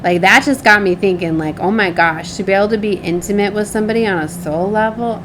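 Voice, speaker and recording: Over clearly audible background noise, a woman talks in a medium-pitched voice as she gives a casual tarot reading. She rambles informally, drops thoughts halfway and keeps checking that the listener follows her. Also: fast, a bit bright. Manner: chatty, informal, often trailing off mid-thought; frequently seeks agreement with tag questions. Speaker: tarot reader and spiritual channel host.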